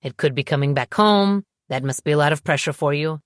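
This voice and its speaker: A calm, self-assured man from an audiobook romance, voiced by a woman narrator. He talks down to his listener with smooth condescension. The pitch is low and the sound dry. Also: brisk, dry recording, natural voice. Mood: patronizing concern. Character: calm, confident, patronizing adult man, an ex-boyfriend.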